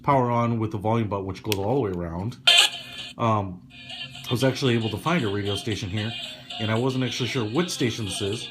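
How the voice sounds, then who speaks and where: A man giving a casual, hands-on gadget demonstration in an explanatory, measured way, hedging his opinions as a product reviewer. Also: lowish voice, over loud music.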